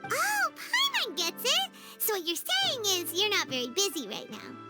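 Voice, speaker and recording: A woman voicing a small, excitable, childlike fairy companion in a video game, squeaky and bubbly. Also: moderate pace, full character voice. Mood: eager, curious. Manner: bubbly, refers to self in third person. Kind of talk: animated video game voice acting. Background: playful background music.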